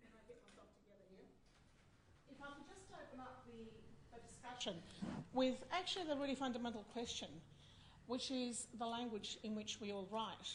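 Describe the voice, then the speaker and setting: A woman with a fairly high voice leads a panel, asking open questions and thinking out loud. She fills her pauses with small hesitations. A faint room hum runs underneath. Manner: warm, curious questioning with many fillers. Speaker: panel chair. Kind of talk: chairing a panel discussion. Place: festival venue before an audience.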